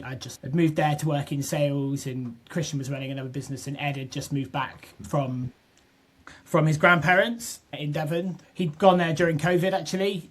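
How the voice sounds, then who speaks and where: A young man from southwest England, a podcast guest talking casually and restarting his sentences often. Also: mid-range voice. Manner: chatty, restarts often, repeats words. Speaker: podcast guest, business co-founder. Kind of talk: casual interview chat.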